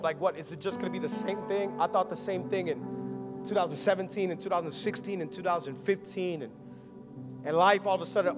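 A young man from Miami preaching a Christmas sermon, moving between rousing calls and quiet personal talk. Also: high voice. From United States (Miami). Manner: rousing preaching, swinging from loud and fervent to quiet and intimate. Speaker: pastor.

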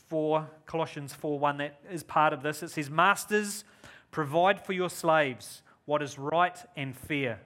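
A man's voice: on the high side, sharp tone. A man in his forties from New Zealand, with a New Zealand accent, giving an enthusiastic guest sermon and prayer at a church service. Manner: enthusiastic, upbeat and effusive. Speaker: guest preacher.

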